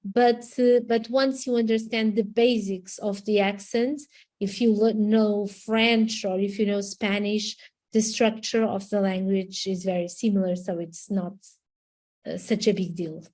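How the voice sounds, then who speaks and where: A woman's voice: mid-range voice, fairly even intonation, unhurried, darkish tone. A Portuguese woman lawyer with a Portuguese accent, answering webinar questions hesitantly with many restarts over a video call. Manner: hesitant, frequent restarts and repetitions. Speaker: immigration lawyer.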